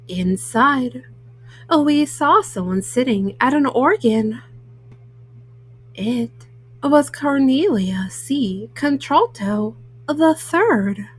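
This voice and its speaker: A woman reads a children's picture book aloud, haltingly, often stopping on small hesitant fillers. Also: high voice, animated, slightly rough. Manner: halting, word-by-word reading with frequent hesitant fillers. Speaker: storyteller, host of a children's read-aloud show.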